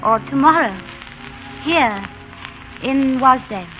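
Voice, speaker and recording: Sweet on someone young english lady, highish voice, tender n teasing, muffled old radio drama. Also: lively intonation, slow. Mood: eager, loving. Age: young adult. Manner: tender, earnest, gently teasing. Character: charming, spirited young Englishwoman in love. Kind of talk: 1930s radio drama acting. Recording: muffled 1930s radio broadcast, faint music.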